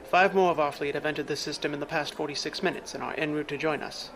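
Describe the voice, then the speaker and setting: A man playing a formal, analytical aide in a science fiction audio drama, speaking in exact, measured phrases. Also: a little high, fairly even intonation, fairly bright tone, a little hiss or hum. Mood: calm, analytical. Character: formal, precise, analytical male aide to a clan leader. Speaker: adviser to the clan leader.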